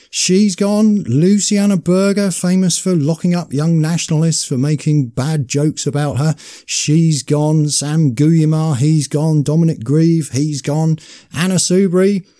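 Mellow-voiced male radio talk host. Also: a little high. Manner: emphatic, gleeful listing. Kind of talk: internet radio talk show.

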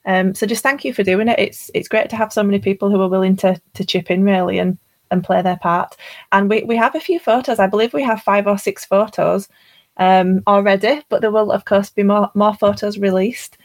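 A woman co-hosts a casual livestream. Her voice sits at a middle pitch and she explains things in a conversational, informal manner. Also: fairly even intonation, fairly quick. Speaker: livestream co-host. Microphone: livestream call microphone.

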